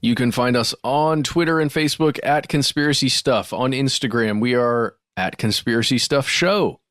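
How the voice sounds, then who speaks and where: A man's voice: mid-range voice, moderate pace, a bit bright, no room sound, studio quality. A man co-hosting a podcast, chatting casually with informal, loose phrasing. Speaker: podcast co-host. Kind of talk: casual chat.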